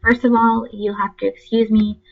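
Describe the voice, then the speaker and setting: A young woman walks through a class project, her voice congested and stuffy from allergies. She talks plainly and a bit haltingly, with ums and self-corrections along the way. The recording is dull and lo-fi, like a computer mic on a screen capture. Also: medium pitch, flat delivery, vocal fry. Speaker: student presenter.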